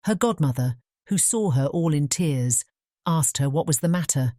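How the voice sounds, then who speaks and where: Low, mellow British woman storyteller. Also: animated, normal speed, dry recording, studio quality. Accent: British English. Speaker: audiobook narrator. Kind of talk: scripted fairy tale narration.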